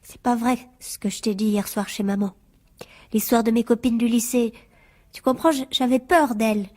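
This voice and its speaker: An actress playing a frightened, pleading teenage daughter in a French radio drama, anxious and insistent. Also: female voice, on the high side, fairly even intonation, moderate pace, darkish tone, close to an everyday voice.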